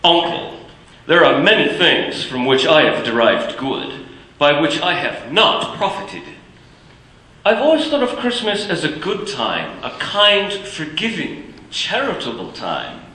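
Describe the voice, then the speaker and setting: An actor playing a warm-hearted young nephew who speaks earnestly and pleads kindly, in a live stage radio play. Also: male voice, a little high, sing-song, a little slow, heavily acted. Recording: echoey theater stage.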